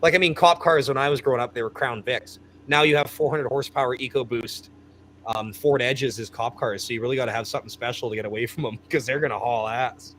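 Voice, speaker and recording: A man in his thirties, chatting casually and enthusiastically about building fast cars. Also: mid-range voice, fairly even intonation, fairly bright tone, slight background noise. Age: 30s. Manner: rambling, enthusiastic car talk. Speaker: guest. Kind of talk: casual chat.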